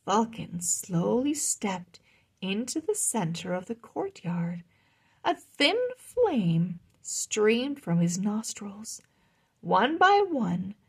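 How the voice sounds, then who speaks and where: Female storybook reader: husky edge, unhurried pace, expressive delivery for young listeners. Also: medium pitch. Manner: expressive, deliberate. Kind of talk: children's read-aloud, scripted narration.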